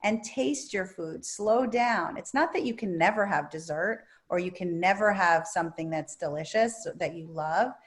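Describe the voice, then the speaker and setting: A woman therapist and author answering questions at a virtual book talk, calm and soothing, with lively emphasis. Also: lowish voice, normal speed, few pauses.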